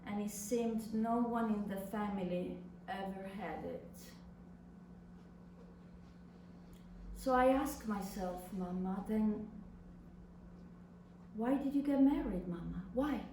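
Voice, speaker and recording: A woman's voice: medium pitch, mellow, voice pushed down, natural voice. A woman performing a dramatic monologue as an anguished young adult daughter addressing her mother, with impassioned, theatrical delivery. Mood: pained, reproachful. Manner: impassioned, deliberate, theatrical delivery with long pauses. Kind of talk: dramatic literary monologue reading on stage. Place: reverberant indoor venue at a live reading. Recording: echoey room, distant mic, faint noise.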